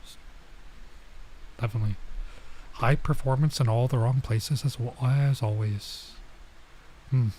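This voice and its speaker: Young male streamer, relaxed and talkative, medium pitch; commentary over a visual novel. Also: measured pace, long pauses, a little hiss or hum, rough recording. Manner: chatty, laid-back, lots of "like" and "bro". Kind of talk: casual let's-play commentary.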